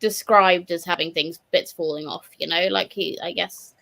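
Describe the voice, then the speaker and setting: A woman's voice: on the low side, steady tone, normal speed, creaky, pushed and bright. A woman casually discussing books, with a tentative, hedging way of talking. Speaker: readalong panelist.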